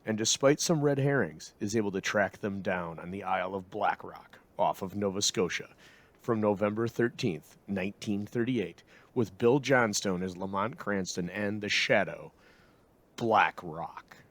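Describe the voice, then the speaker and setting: A man's voice: fairly low pitch, measured pace. A man reading a scripted introduction as the host of an old-time radio programme.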